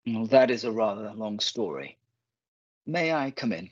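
A man playing a polite, uneasy and protective gentleman in a radio play, formal and slightly hesitant, on a dull recording. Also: fairly low pitch, big pitch swings, a little slow, no echo. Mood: guarded.

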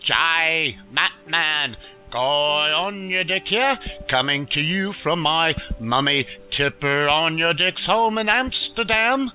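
A man's voice: a little high, expressive, slow. A man playing a crude, irreverent Dutchman delivering a mock-formal comic message with theatrical relish. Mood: mock-celebratory, crude. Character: irreverent Dutch man Guy, cheerfully crude and bitter about his father. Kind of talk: comic monologue as a message to radio hosts. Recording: phone-like narrow band, music behind.

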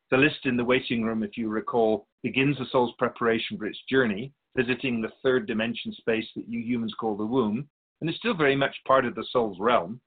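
A man playing a wise, patient otherworldly spirit in a radio drama, explaining things in a measured, gently teasing way. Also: medium pitch, slightly rough, narrow, tinny audio, natural voice. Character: Keeper of Souls' Purpose, wise otherworldly spirit, patient and curious.

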